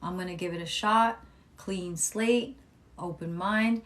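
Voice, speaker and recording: A young adult woman giving a casual live tarot reading, with a calm, soothing voice, chatting, swearing freely and reading viewer comments aloud. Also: on the low side, measured pace. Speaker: tarot reader live-streaming.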